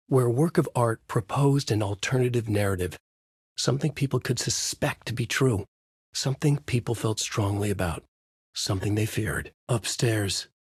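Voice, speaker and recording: Haunted crime writer, low husky voice, dry close sound, grave noir narration, intense and deliberate, horror video game. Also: male voice, no room sound. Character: brooding, haunted crime novelist narrating his horror story. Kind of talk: noir scripted narration and character lines.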